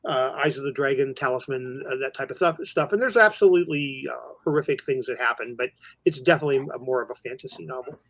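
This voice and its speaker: A man's voice: mid-range voice, fast, a bit bright, no echo. A man co-hosting a horror news podcast, chatting casually about films with fellow hosts.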